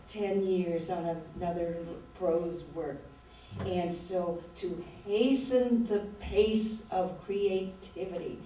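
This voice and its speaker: A woman in her sixties, an author reading her poetry aloud and talking about it in a slow, measured, reflective way. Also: lowish voice, mellow, a little hiss or hum. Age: 60s. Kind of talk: poetry reading with talk between poems. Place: lecture room at a literary event. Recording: very echoey room, voice distant from mic.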